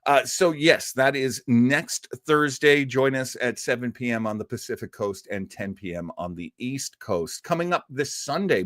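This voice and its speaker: A man's voice: medium pitch, no room sound. Chatty man hosting a livestream, dry mic. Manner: rambling and chatty, with many fillers and restarts; reads out and answers viewer comments. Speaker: host of an old-time radio livestream. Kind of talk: casual livestream chat.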